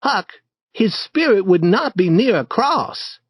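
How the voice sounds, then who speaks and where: A man's voice: high voice, slow, husky, no room sound, voice pushed up, heavily acted. A man reading a story, voicing a bold, eager young boy who leads the way. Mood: excited. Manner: confident, excited, urging.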